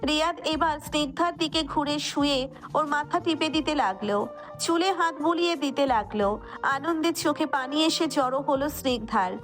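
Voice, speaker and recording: A woman's voice: high-pitched, moderate pace, fairly bright tone, faint music behind. A woman narrator reading a scripted romantic story.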